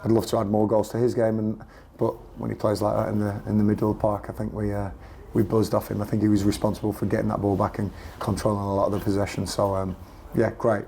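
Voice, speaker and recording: Brief: man, football manager post-match, gravelly and croaky, low mellow voice, flat delivery. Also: quick pace, a little hiss or hum. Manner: earnest, repeats himself while searching for words. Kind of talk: post-match press interview.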